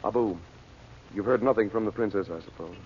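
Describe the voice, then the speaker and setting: An actor playing a worried but resolute hero in an old radio serial, speaking earnestly and firmly. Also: male voice, lowish voice, brisk, close to an everyday voice. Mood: grave. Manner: earnest, firm. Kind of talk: 1940s radio serial acting. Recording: muffled, noisy old radio recording.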